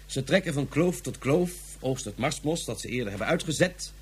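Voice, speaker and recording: A man's voice: mid-range voice, lively intonation, moderate pace, breathy edge. An actor playing an officious male police inspector, speaking in a bureaucratic, pompous way. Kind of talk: radio drama acting.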